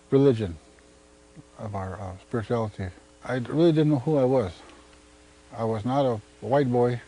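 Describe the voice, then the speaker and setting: Earnest, halting man with mellow voice. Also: mid-range voice, rough recording. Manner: halting, repeats words, earnest. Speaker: school helping professional. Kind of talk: documentary interview testimony.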